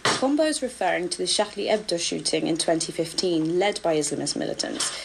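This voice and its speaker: A woman's voice: lowish voice, animated, brisk, vocal fry, background noise. A woman working as a radio reporter, describing things and interviewing on location. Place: artist's studio in Paris suburbs.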